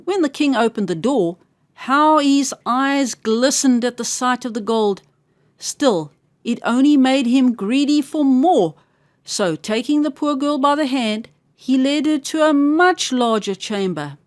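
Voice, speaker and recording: A woman storyteller reads a fairy tale for children with careful, expressive diction. Her voice is high and strongly animated, recorded dry with hardly any room around it. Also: unhurried, no echo.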